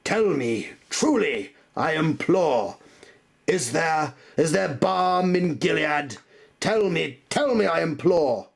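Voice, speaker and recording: A male performer as an anguished, bereaved man in a Gothic poem. He declaims theatrically at an ominous bird, pleading and raging. The voice climbs well above a normal speaking pitch, with slow delivery and a husky edge. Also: animated, heavily acted. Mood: desperate pleading. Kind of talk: dramatic Gothic poetry recitation.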